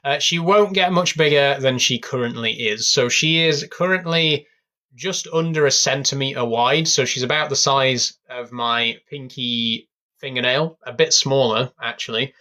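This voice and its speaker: A man's voice: medium pitch, a little slow. A young man chatting casually on a live stream from his bedroom, rambling with lots of fillers. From England. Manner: rambling, relaxed, many fillers. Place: bedroom at home.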